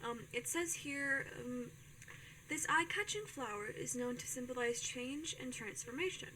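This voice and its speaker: A woman playing a helpful, hesitant little sister in an audio drama, soft-spoken and tentative. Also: sits high, expressive, unhurried, heavily acted, rough recording. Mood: curious, tentative. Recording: faint ambient noise behind.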